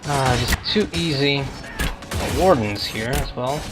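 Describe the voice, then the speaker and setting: A man giving casual, halting gameplay commentary as he hosts a gaming video. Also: medium pitch. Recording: game music and battle noise behind. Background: video game music and combat sounds.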